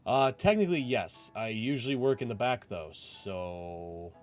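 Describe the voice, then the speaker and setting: A man's voice: medium pitch, expressive, measured pace, natural voice. A man voicing an awkward, hesitant but obliging male clerk in a visual novel. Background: game music.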